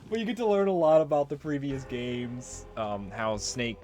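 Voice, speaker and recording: A man's voice: mid-range voice, sing-song, moderate pace, darkish tone, faint music behind. A man giving casual, jokey let's play commentary on a video game, prone to goofing around. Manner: jokey, breaks into silly singing and goofing.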